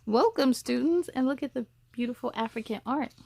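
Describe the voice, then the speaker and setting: A woman's voice: sits high, a little fast, creaky, darkish tone, a little hiss or hum. A woman reading a children's storybook aloud as the host, with casual asides.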